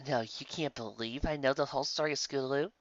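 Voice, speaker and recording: A narrator voicing a teasing, flirtatious and smug unicorn mare with a sly, drawn-out manner, on a slightly dull recording. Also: medium pitch, dry recording, lightly acted. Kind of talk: fan fiction character dialogue.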